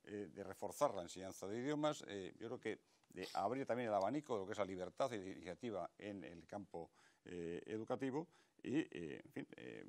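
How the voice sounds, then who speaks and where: A man's voice: sits low, animated, darkish tone, no echo. A man speaking as a political candidate in a televised debate, stumbling over fillers and restarts as he argues. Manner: fast, hesitant with many fillers and restarts.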